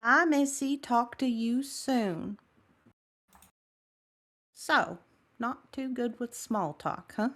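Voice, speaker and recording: A woman's voice: on the high side, animated, very slow, long pauses, a bit bright, full character voice. A woman playing a friendly, chatty, plain-spoken woman on a phone call in a table read. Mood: friendly, delighted. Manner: chatty, encouraging. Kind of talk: table read of a science fiction story.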